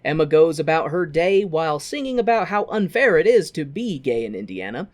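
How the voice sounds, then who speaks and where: A man's voice: on the high side, big pitch swings, brisk. A man with an American accent giving a casual, sweary recap with wry asides, as a podcast co-host. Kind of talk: casual film recap with commentary.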